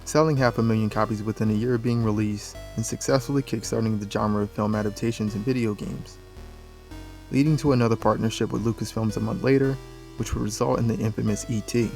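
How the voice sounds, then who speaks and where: A man's voice: lowish voice, vocal fry, a little mellow. A man reads scripted documentary narration for a video essay, speaking as a voice-over.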